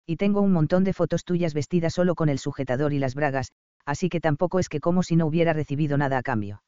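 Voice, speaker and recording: A man's voice: on the high side, quick pace, mellow, smooth, dry recording, lightly acted. A man voicing a bossy, teasing young male doctor with dry, smug humor, read in a dull, dry recording. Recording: slightly dull dry audio.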